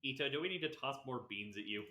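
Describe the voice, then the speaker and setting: Bright-voiced young man streaming in echoey room. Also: mid-range voice, expressive, quick pace. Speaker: game streamer. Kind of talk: casual livestream commentary with swearing. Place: indoor room.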